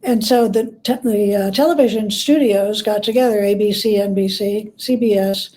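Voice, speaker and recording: A woman speaking as a guest expert, explaining history conversationally and often pausing to search for words. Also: medium pitch, fairly even intonation, warm tone. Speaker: guest expert, biographer and scholar.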